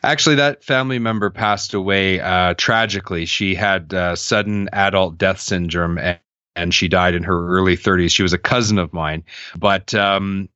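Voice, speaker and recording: A man's voice: low-pitched, normal speed, dry recording, highs cut off. A man in his thirties telling his life story candidly as a podcast guest, earnest and reflective. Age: 30s. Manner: earnest, reflective, animated when quoting others.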